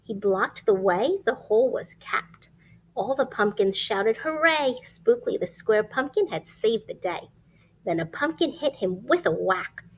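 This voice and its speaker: A woman reading a picture book aloud to young children, expressive and emphatic, pausing to ask questions and point things out. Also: on the high side, slightly rough, highs cut off. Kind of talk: animated children's read-aloud.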